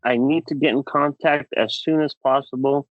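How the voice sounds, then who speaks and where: A man's voice: medium pitch, steady tone, moderate pace, no echo, highs cut off. A man co-hosting a podcast in casual, enthusiastic banter, repeating words for emphasis. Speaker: podcast co-host.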